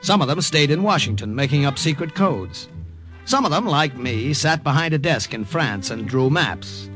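An actor playing a young army sergeant and cartoonist who narrates in a breezy, jokey way, in 1940s radio acting style. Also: male voice, on the high side, animated, normal speed, fairly bright tone. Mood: narrating. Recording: old radio broadcast with music behind.

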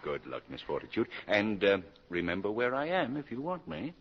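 An older man playing a kindly, amused college dean in a 1940s radio drama, with avuncular pauses. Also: fairly low pitch, sing-song. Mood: reassuring. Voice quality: gravelly, husky. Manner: avuncular, chuckling, hesitant pauses. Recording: dull lo-fi 1940s radio broadcast.

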